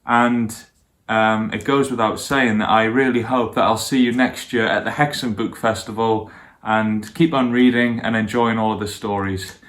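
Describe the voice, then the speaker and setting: A man's voice: lowish voice, steady tone, normal speed, a bit bright. A man reading stories for young children and speaking to them directly as a guest storyteller.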